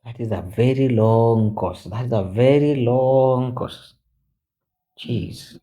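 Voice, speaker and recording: A man in his forties, chatting on a live stream as a guest, halting with many fillers and switching into Swahili. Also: medium pitch, mellow. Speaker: guest mentor. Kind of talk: casual live-stream chat.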